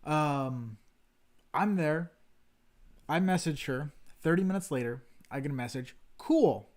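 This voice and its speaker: A man's voice: a little high, measured pace, darkish tone. A man co-hosting a casual livestream chat, telling a long story in a rambling, self-deprecating way. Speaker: stream co-host. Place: home livestream setup.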